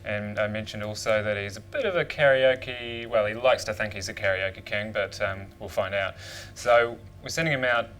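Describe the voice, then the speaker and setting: Bright, enthusiastic male show host. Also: fairly low pitch, normal speed, slight background noise. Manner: enthusiastic, links segments. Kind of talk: studio presenting.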